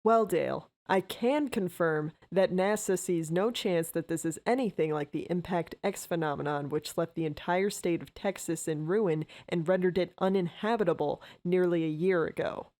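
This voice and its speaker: Woman acting as a low-voiced, solemn city mayor. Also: moderate pace, few pauses, darkish tone, natural voice. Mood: solemn, official. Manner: formal, solemn. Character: grave, formal female city mayor addressing citizens. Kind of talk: scripted emergency address.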